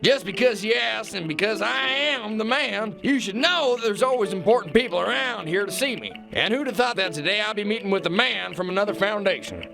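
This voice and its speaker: An actor playing a pompous, folksy, bullying kingpin boss who boasts in a drawl, in a satirical radio drama. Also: male voice, sits high, animated, a little fast, vocal fry, few pauses, over music. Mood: irritable, domineering.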